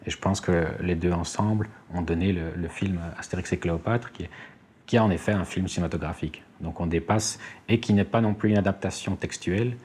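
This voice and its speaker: A man's voice: low-pitched, a little fast, a little mellow, a little hiss or hum. A man giving explanatory commentary in an interview.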